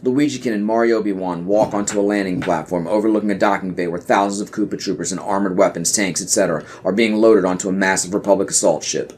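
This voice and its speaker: A man's voice: deep voice, fairly even intonation, fast talker, hardly any pauses, darkish tone, slight background noise. A man reading stage directions as the narrator of a scripted audio play.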